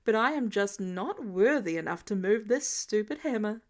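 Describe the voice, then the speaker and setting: A woman's voice: mid-range voice, animated, moderate pace, natural voice. A woman plays a grown man in a narrated story, a genius billionaire boss. He is flippant and childish, brushing things off like someone who refuses to be serious. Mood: mock regret.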